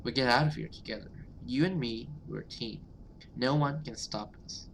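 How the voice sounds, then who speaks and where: A man's voice: medium pitch, normal speed, gravelly, slight background noise, natural voice, poor audio. Man reading as a determined horror-game survivor. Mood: urgent, determined. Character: urgent, protective, defiant survivor rallying a teammate. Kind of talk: horror visual novel read aloud.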